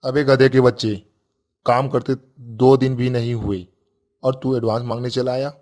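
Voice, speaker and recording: A man's voice: medium pitch, flat delivery, moderate pace, a little mellow, close to an everyday voice. Harsh, scolding village landlord acted by a man. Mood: angry, scornful. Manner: gruff, scolding.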